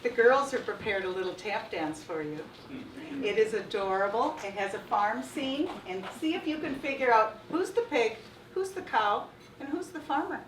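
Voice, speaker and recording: A woman playing a brisk show emcee who introduces the next act on a live stage. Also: on the high side, expressive. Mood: cheerful. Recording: echoey hall from far off, noisy.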